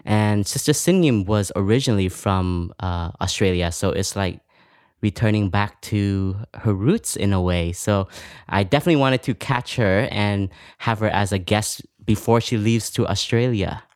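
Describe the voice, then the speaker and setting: Low-voiced man hosting, dry close sound. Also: moderate pace, no room sound. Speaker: podcast host, Buddhist monk. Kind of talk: conversational guest introduction.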